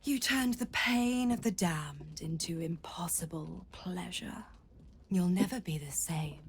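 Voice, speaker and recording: A woman playing a sultry, boastful, sadistic seductress in a fantasy video game, teasing and partly breathy. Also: fairly low pitch, measured pace, a little hiss or hum.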